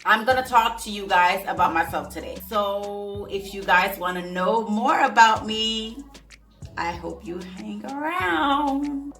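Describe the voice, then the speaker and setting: A woman in her forties from Haiti, a vlogger telling her life story in a chatty, animated way and calling her viewers "y'all". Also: medium pitch, a little slow, reverberant, faint music behind. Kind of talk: casual storytelling to camera.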